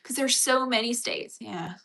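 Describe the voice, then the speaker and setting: Australian woman, casual streamer, bright forward voice, chatty with viewers. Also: fairly high pitch, a little slow, creaky. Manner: chatty, reads and answers viewer comments. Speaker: livestream host. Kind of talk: casual livestream chat.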